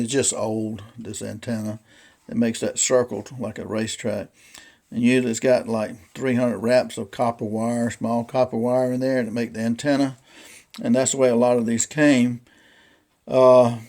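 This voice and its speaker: A man with a mid-pitched voice talks through a casual, unscripted demonstration as a hobby collector. He explains things in a folksy, rambling way. His delivery is halting, as he works out what to say next. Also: a little mellow. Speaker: vintage radio collector presenting a radio.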